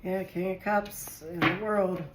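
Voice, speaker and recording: A woman with a hoarse, raspy voice from a virus, chatting casually as she reads tarot for her viewers and thinks aloud while drawing cards. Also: lowish voice, fairly even intonation, unhurried. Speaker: tarot reader. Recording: echoey room with faint noise.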